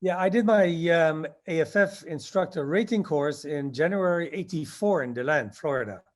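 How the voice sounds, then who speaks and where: A man in his sixties recalls decades-old events as an interview guest. His voice sits a little high, and he pauses and restarts often as he searches his memory. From Indonesia. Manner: reminiscing, halting with many fillers. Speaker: guest, skydiving instructor. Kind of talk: interview answers.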